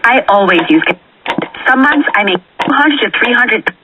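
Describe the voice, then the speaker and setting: A woman's voice: fairly high pitch, expressive, moderate pace, background noise. A woman giving a testimonial in a radio advertisement. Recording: muffled radio playback, loud background voices.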